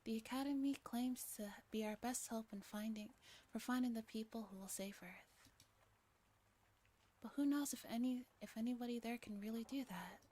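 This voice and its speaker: A woman with a fairly high voice reads a story aloud in a soft ASMR style. Her delivery is gentle and breathy, often slipping toward a whisper, like a streamer narrating a visual novel. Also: moderate pace. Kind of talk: soft-spoken ASMR narration with chat asides.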